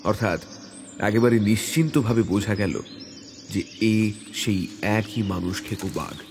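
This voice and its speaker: A man reading a hunting adventure as a dramatic audio story, in a measured, suspenseful way. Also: fairly low pitch, noisy background. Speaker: story narrator. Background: background music.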